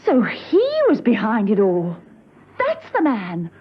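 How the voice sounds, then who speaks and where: A woman's voice: mid-range voice, huge pitch swings, slow pace. An actress playing a cool, airy female secret agent in a radio drama. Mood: puzzled. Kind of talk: spy radio drama acting. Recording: dull, noisy old radio recording.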